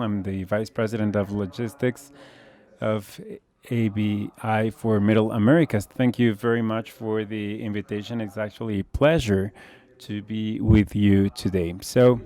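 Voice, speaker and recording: A man in his forties from Brazil with a Brazilian Portuguese accent, giving a measured conference presentation with frequent fillers. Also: lowish voice, mellow. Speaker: conference speaker, logistics executive.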